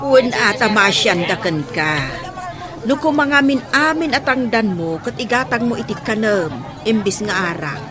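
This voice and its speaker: An actress as a middle-aged auntie in a radio drama, high-voiced and lively, with an Ilocano accent. She nags and exclaims, yet sounds caring. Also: normal speed, crowd noise, natural voice. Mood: nagging, concerned.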